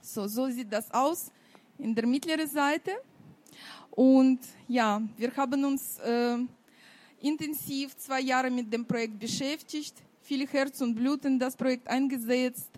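Frau mit russischem Akzent, langsam, hoch. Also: female voice, sits high, steady tone, darkish tone. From Ukraine. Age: 50s. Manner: halting, many fillers, non-native grammar. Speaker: children's author. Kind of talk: book fair presentation.

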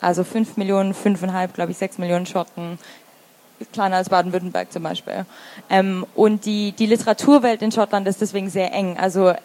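A woman's voice: mid-range voice, little pitch movement, a little fast, mellow. A woman in her thirties with a Swabian German accent, answering interview questions as a literary translator, thoughtful with many fillers and restarts. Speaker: literary translator and review editor.

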